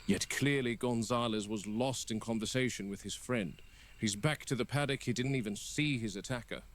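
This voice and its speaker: A man playing a stern, exasperated game warden delivering a grave, deliberate monologue in a found-footage horror piece. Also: mid-range voice, lightly acted. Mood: grim, stern. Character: stern, exasperated male park game warden logging incidents. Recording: noisy found-footage audio with insects.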